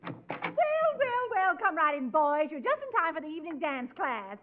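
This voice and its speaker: A woman's voice: high voice, sing-song, normal speed. An actress playing a gushing, flirty, money-grabbing lady dance teacher in a theatrical 1940s radio comedy style. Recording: tinny, crackly 1940s radio recording.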